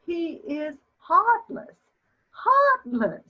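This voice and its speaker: A woman's voice: very high-pitched, sing-song, full character voice. An actress playing a haughty elderly society mother in a comedy of manners, grand, plaintive and disapproving, on a muffled recording. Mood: tearful lament. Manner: grand, lamenting, drawn-out delivery. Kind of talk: stage comedy of manners, dramatic reading.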